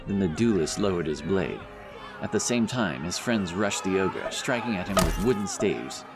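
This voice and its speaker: A man's voice: deep voice, animated, normal speed. A man narrating a scripted fantasy audiobook as storyteller. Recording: narration over loud background music. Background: music, outdoor sound effects.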